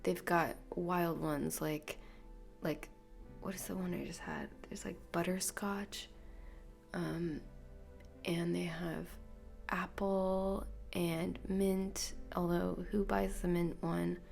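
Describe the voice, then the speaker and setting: Woman rambling on her podcast, stuffed-up nasal voice, low-ish and creaky, soft music underneath. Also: level tone, slow pace. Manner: soft, half-whispered, meandering. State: congested, nasal from illness. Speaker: podcast host. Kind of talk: rambling solo podcast monologue. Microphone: podcast microphone.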